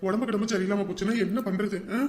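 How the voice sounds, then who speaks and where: A woman's voice: mid-range voice, steady tone, rapid-fire, close to an everyday voice. A woman voicing a placating, pragmatic young husband in a family drama, with a soothing, reasoning manner. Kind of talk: amateur village family drama acting. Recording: echoing, reverberant recording with music.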